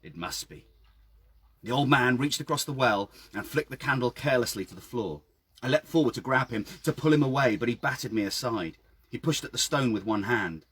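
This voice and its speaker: A man reading his own story dramatically outdoors, with measured, hushed and suspenseful delivery. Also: medium pitch, breathy edge. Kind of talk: dramatic scripted story narration. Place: outdoors by a town well.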